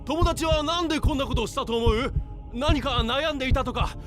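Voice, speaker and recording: An actor playing a pushy, prying news reporter with an insistent manner in a visual novel. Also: male voice, extremely high pitch, very fast, over music. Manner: insistent, nosy questioning. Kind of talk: Japanese visual novel voice acting.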